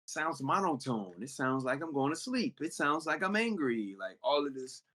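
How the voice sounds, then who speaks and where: A man who raps, answering interview questions in a relaxed, conversational way about recording music. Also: a little high, normal speed. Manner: relaxed, explains with many "you know what I'm saying". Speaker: rapper guest. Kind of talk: casual interview talk.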